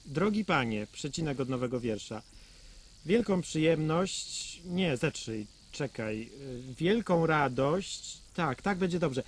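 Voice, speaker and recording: A man's voice: fairly high pitch, lively intonation, slow, breathy edge, full character voice. A man playing an irritable, fussy, bossy father who huffs and hesitates in a radio play. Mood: fussy, dictating. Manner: exasperated, huffy, hesitant when dictating. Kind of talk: acted radio play dialogue.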